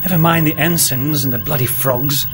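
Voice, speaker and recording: A man's voice: a little high, normal speed, loud music behind the voice, close to an everyday voice. A man playing a vain, sarcastic executive officer in a comedy radio play, withering and self-important.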